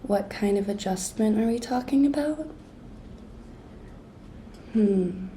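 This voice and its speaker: A woman playing a cute, pushy young intern coaxing her boss in an intimate, breathy ASMR whisper, coy and teasing. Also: medium pitch, slow pace, a little hiss or hum, close to an everyday voice, poor audio. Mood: tentative, persuasive. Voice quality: breathy whisper, soft-spoken. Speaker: ASMR roleplay performer.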